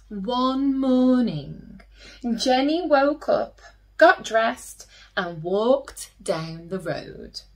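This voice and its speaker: Animated, slow-paced woman reading to children. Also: fairly high pitch. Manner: gently questioning, addressing young listeners. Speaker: children's storyteller, teacher. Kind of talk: expressive read-aloud for young children.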